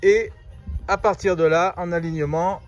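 A man with a fairly high voice talks informally to viewers as a vlogger. His manner is playful and casual, with faint music behind him. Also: fairly even intonation, moderate pace, muffled recording. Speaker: vlogger showing his camper build and travels. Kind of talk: casual vlog chat.

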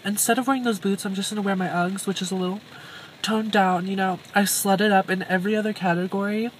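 A woman's voice: medium pitch, fairly even intonation, fast. Young woman vlogger, bubbly and chatty, mid-range voice, noisy phone audio. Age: young adult. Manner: bubbly, self-admiring and chatty. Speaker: thrift vlogger. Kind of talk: casual vlog chat. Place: inside a parked car and a thrift store. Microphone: phone camera held at arm's length.

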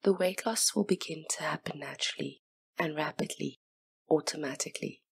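A woman guiding a hypnosis meditation in a soft, breathy near-whisper, with soothing, gentle, unhurried instruction. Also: deep voice, no room sound. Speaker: hypnotist and session guide.

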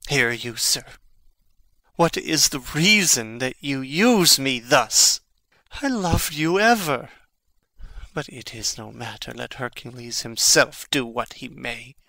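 An actor playing an intense, brooding young prince in a classical Shakespearean dramatic reading, with theatrical, emphatic phrasing. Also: male voice, mid-range voice, expressive, slow, vocal fry, bright, forceful tone, no room sound, exaggerated voice. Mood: ranting, indignant.

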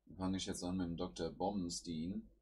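A man with a cold hosts his gaming channel from home. His voice sits very low and comes out husky. He talks through each move with casual fillers. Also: steady tone, brisk, a little mellow. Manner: thinks aloud while playing, with fillers. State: has a cold. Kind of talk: casual gameplay commentary.

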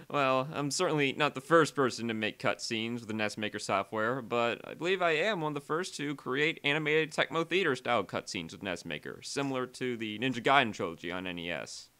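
A man narrates a software tutorial in a casual, conversational way. His voice is bright and forward, and his pitch moves around a lot. His energy is uneven as he steps through explanations and dwells on key words. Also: expressive, normal speed, clean tone. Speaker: tutorial creator and presenter.

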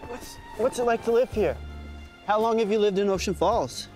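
A man's voice: sits high, normal speed, a little mellow, soft background music. A man, hosting a documentary with narration and casual interviews. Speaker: documentary host.

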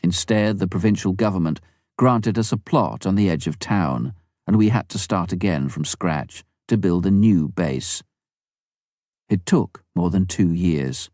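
Male narrator, low and mellow, dry close recording, steady audiobook read. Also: dry recording. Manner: measured, even first-person reading. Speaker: audiobook reader. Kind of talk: scripted memoir narration.

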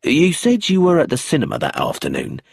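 A man voicing a calm, blunt police chief inspector in an audiobook, low, steady and abrupt. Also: a little fast, vocal fry, husky, no echo, studio quality, heavily acted. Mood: firm.

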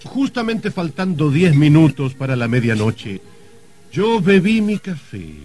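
A man's voice: on the high side, darkish tone. An actor playing a wry, confident rich man facing his own murder, in theatrical old radio drama style. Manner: theatrical, deliberate, sardonic. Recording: vintage radio drama recording.

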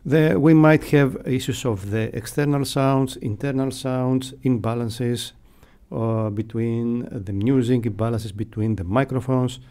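A middle-aged man explaining things deliberately in an interview, close on a broadcast microphone. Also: mid-range voice, fairly even intonation, dark tone. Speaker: radio sound technician, interviewee. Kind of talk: unscripted explanatory interview answers. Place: acoustically padded radio studio. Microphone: close broadcast mic.

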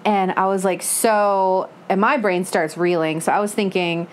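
A woman in a casual book discussion, telling things in an animated way and quoting her own reactions. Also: medium pitch, normal speed. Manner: animated storytelling with self-quoted reactions. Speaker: book club panelist. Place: living room, seated on a couch. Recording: faint room noise.

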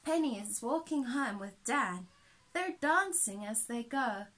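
High-voiced woman reading to children, unhurried. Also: background noise. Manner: expressive, child-directed. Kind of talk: picture book read-aloud with asides. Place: small indoor room with soft toys.

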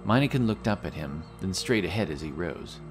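A man narrating a scripted fantasy audiobook in a measured, dramatic way. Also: deep voice, expressive, music in the background.

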